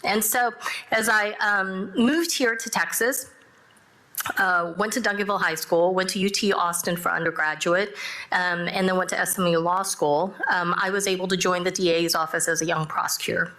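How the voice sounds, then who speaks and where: A middle-aged woman whose first language is Korean, introducing herself on a panel in deliberate, halting phrases. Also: lowish voice, steady tone, sharp tone. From born in South Korea, raised in the US. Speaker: judge, panelist. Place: panel event room with audible echo. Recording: roomy live event sound.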